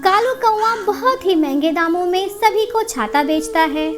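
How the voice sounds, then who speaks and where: A woman narrates a scripted children's story. Her voice is extremely high in pitch. Music plays behind the narration. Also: lively intonation, measured pace.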